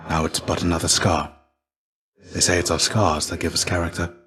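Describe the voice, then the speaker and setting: A fallen hero's shade from a video game, with a deep voice. He is a fatherly, weary mentor who speaks formally and gently. His phrasing is measured and archaic, like an old soldier of legend. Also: level tone. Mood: sorrowful, regretful. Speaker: game character voice actor. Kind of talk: scripted video game dialogue.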